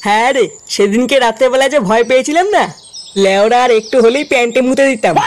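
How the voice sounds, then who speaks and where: A man's voice: very high-pitched, quick pace. A man with a West Bengali accent voicing a bossy, foul-mouthed neighbourhood big brother in a comedy cartoon. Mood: casual.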